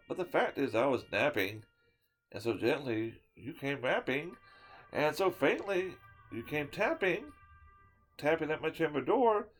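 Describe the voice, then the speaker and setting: A man giving a dramatic reading of a poem, swinging from loud declaiming to hushed whispers and sometimes tripping over a word. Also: mid-range voice, poor audio. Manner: stumbles and restarts words now and then. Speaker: storyteller reading a poem aloud.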